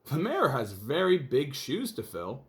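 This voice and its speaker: A man's voice: medium pitch, wildly swooping pitch, unhurried. A man voicing a nosy, quippy town reporter in a children's storybook, prodding and emphatic. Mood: wry.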